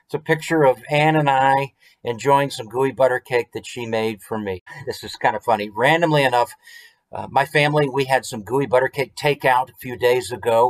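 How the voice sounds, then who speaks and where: A man in his forties with an American accent, hosting a story time in an expressive, conversational way with chatty asides. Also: mid-range voice, moderate pace, rough recording. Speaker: author reading his picture book. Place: small room at home, indoors.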